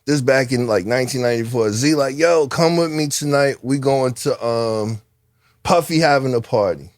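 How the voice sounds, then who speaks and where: A middle-aged man from New Jersey with a New Jersey accent, telling stories as a podcast guest, animated and swearing freely. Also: medium pitch, moderate pace, no room sound, studio quality. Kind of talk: storytelling in casual chat.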